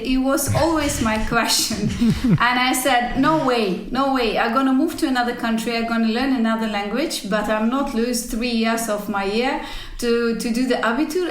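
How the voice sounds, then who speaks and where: Echoey woman telling her story. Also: a little high. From former Soviet Union. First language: not English. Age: 40s. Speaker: podcast guest, cycling team executive. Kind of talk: conversational interview storytelling. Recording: roomy, echoey sound.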